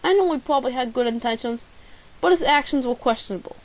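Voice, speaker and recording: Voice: high-pitched, fast, close to an everyday voice. A young-sounding amateur actor playing a bumbling, pompous male private eye in a radio drama, theatrical and self-important. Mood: self-important narrating. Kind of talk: amateur radio drama detective narration and dialogue. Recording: hissy, noisy amateur home recording.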